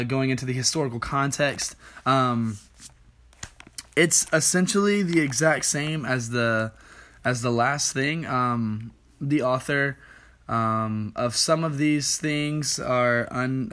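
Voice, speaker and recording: A young man, a youth worship leader, giving a casual Bible study talk in a tired, slightly hoarse voice, often saying um and clearing his throat. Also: mid-range voice, measured pace, fairly bright tone. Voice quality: many ums and throat clearing.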